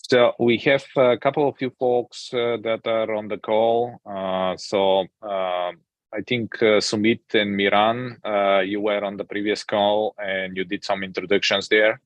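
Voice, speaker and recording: A man hosting an online project meeting, talking casually with many fillers and pauses, on a video call. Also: on the low side, little pitch movement, no echo, muffled recording. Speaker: meeting host, project maintainer. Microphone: computer mic on video call.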